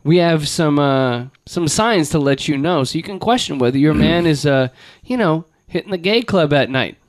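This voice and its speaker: A male podcast co-host who rambles through stories in crude, joking, casual chat. His voice sits a touch high for a man, recorded dry and close with no room sound. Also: lively intonation, moderate pace, no echo.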